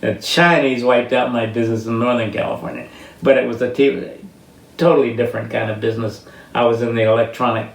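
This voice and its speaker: An elderly man with an American accent reminisces about his life in a halting, reflective way, often searching for words. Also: medium pitch, fairly even intonation, creaky. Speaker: interviewee sharing life stories. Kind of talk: reminiscing personal storytelling. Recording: roomy, distant mic with faint noise.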